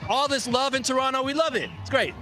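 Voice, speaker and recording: A man's voice: extremely high pitch, fast talker, over music, rough recording. A man marching in an outdoor parade, answering enthusiastically and repeating his praise.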